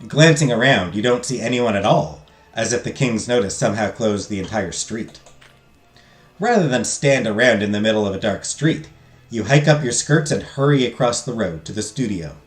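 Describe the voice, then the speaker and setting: A man streaming a visual novel, loudly reading the game text aloud and chatting with his viewers. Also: fairly low pitch, animated, moderate pace. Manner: loud, talks loudly. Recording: roomy echo, faint game music.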